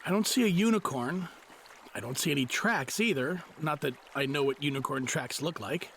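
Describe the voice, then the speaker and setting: An actor playing an earnest, questioning husband on a fantasy quest in an audio drama, with a husky, gravelly voice. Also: male voice, on the high side, normal speed, background noise. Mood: puzzled, concerned. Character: Colin, skeptical adult husband on a fantasy quest.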